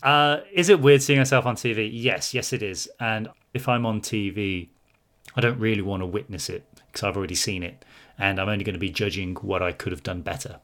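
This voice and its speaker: Chatty man, lowish voice. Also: fairly quick, a bit bright. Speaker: co-host answering viewer questions. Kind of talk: casual chat.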